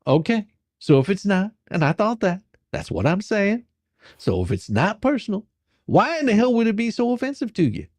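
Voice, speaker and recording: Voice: mid-range voice, wildly swooping pitch, normal speed, darkish tone, dry recording. A performer playing a pushy, exasperated attorney in a dramatic reading of a deposition, badgering, sarcastic and coarse. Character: pushy, folksy, exasperated attorney questioning a witness.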